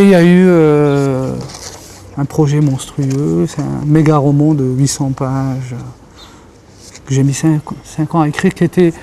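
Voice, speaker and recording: Thoughtful man, mellow voice. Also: mid-range voice, a little hiss or hum. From Algeria. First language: Kabyle. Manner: thoughtful, searching for words. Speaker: interviewee, writer and journalist. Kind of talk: reflective interview talk.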